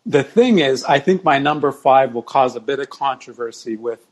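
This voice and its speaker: A man chatting casually as a podcast guest, measured and explanatory. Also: mid-range voice, lively intonation. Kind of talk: casual chat.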